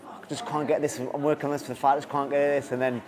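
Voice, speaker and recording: A man's voice: fairly high pitch, flat delivery, fast talker, darkish tone. A man, an athlete chatting casually about life in training camp. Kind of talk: casual chat.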